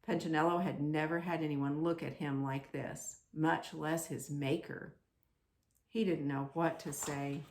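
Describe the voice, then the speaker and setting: A woman storyteller for a children's ministry, gently narrating a storybook and talking to young listeners. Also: deep voice, steady tone, measured pace, a little hiss or hum.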